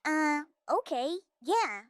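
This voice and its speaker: A woman's voice: high-pitched, very animated, slow pace, no echo, studio quality, full character voice. A woman voicing a feisty, combative young girl with a bossy manner in a cartoon-style comic dub. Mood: curious. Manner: bossy, confrontational. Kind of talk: comic dub character acting.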